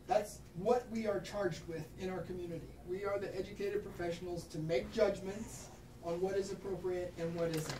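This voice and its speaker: A woman doctor from Kansas leads an informal session for clinicians, checking in with "right?" and laughing at herself as she goes. She sounds set back from the microphone in a reverberant room. Steady background noise runs under her voice. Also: fairly low pitch, moderate pace. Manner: frequent tag questions, laughs at herself. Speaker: pain management doctor. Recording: roomy echo, distant mic, noticeable background noise.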